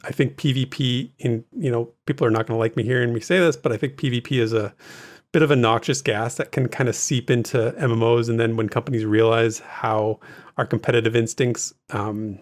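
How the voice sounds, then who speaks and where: A man with an average-pitched voice chats as a co-host on a gaming show. His delivery is informal and easygoing, like relaxed conversation between friends. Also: brisk. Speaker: podcast co-host. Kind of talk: casual gaming discussion.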